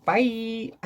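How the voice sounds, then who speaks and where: A man's voice: very high-pitched, a little hiss or hum. A man talking casually as a book vlogger, rambling and often interrupting himself with repeated words. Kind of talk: casual book chat to camera.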